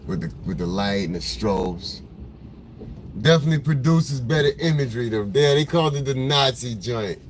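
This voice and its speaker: Male livestream host, smoker's voice, relaxed and slangy, talking into a phone in the car. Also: mid-range voice, moderate pace. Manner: laid-back, rambling, slangy, greets viewers by name. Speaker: photographer hosting a livestream. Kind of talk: casual livestream chat with viewers. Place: in a car in traffic. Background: faint vehicle noise.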